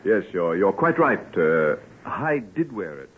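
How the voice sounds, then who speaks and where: An actor playing a reserved, uneasy gentleman who speaks haltingly, burdened with guilt, in an old radio drama. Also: low voice, big pitch swings, breathy edge, heavily acted. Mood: uneasy, guarded. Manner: hesitant, halting. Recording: tinny, hissy old radio drama recording.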